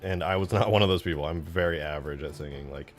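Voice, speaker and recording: A man's voice: sits low, quick pace. A man chatting casually and informally on a livestream, replying to viewers in a slangy, self-deprecating way. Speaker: streamer, game modder. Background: background music.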